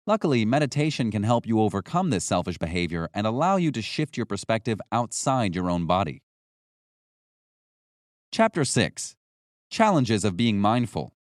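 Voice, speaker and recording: A man reading a scripted nonfiction audiobook as narrator, in a measured, instructional way. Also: on the low side, dry recording, clean studio sound.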